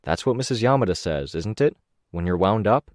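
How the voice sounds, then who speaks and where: A man voicing a soft, patient young man in an audiobook. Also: fairly low pitch, lively intonation, a little fast, no echo, studio quality, voice pushed up, natural voice. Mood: calm.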